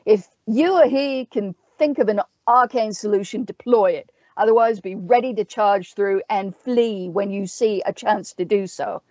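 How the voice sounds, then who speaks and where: A woman's voice: medium pitch, moderate pace, hardly any pauses, darkish tone, no room sound, dull audio, natural voice. A woman voicing a grave, dry-witted male mercenary captain in a fantasy story, terse and commanding. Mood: grave, steady. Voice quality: death-rough voice. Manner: terse, dry, clipped orders. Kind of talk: fantasy audiobook character.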